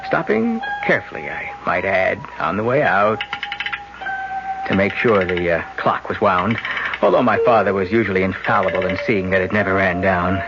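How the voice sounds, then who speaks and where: Scheming young twin brother. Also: male voice, deep voice, expressive, moderate pace, over loud music. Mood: brooding narration. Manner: coaxing, hypnotic, later drunk and rattled. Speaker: narrator and lead. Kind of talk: 1970s radio drama acting. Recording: dull lo-fi old radio broadcast.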